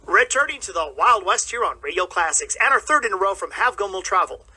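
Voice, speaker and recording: A man's voice: sits high, lively intonation, a little fast, sharp tone, husky. A man presenting scripted links as a radio host.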